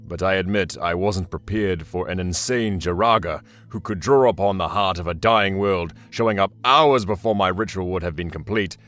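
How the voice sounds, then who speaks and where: Ancient demon warlord, very low voice, arrogant, deliberate, dryly sardonic, audio drama monologue, music underneath. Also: male voice, a bit bright. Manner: measured, deliberate, dry sardonic delivery.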